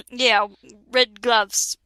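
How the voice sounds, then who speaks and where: A woman's voice: a little high, fairly even intonation, drawn-out words, pushed and bright, no room sound. A young woman doing a comedic dramatic reading, giggling and breaking off for asides. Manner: giggly, breaks into laughter mid-sentence; mock-dramatic, interrupts herself with asides. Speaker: reader of a parody fanfic.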